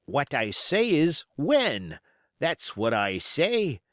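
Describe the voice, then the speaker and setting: A man voicing a gruff, impatient pirate coxswain in an audiobook, complaining emphatically in seafaring dialect. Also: a little high, wildly swooping pitch, slow, a bit bright, no echo, studio quality, voice pushed up, full character voice. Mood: grumbling. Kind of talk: audiobook character voice.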